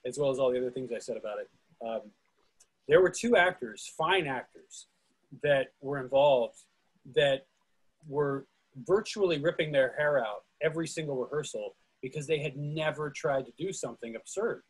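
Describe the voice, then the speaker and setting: A man hosting a casual group discussion on a video call, talking in an animated way. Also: medium pitch, moderate pace, husky, low-quality recording. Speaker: host of a playwright readers' discussion. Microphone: video call microphone.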